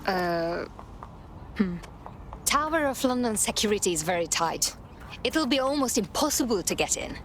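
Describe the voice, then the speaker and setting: A woman's voice: mid-range voice, sing-song, normal speed, long pauses, a bit bright, a little hiss or hum. A woman playing a cool, determined resistance operative with a terse, resolute manner in a video game.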